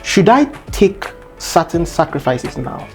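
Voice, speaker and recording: A Nigerian man in his thirties hosts a relaxed interview, thinking out loud in a chatty, reflective way. His pitch moves in a lively way, with soft chuckles between thoughts. Faint music sits under his voice. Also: unhurried. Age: 30s. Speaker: host. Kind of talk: casual sit-down interview.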